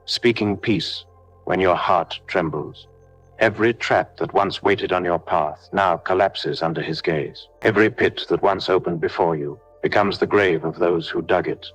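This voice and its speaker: A man leading a scripted devotional prayer, giving solemn, measured blessings and declarations in short parallel phrases. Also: sits low, dry recording, poor audio. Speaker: prayer leader. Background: background music.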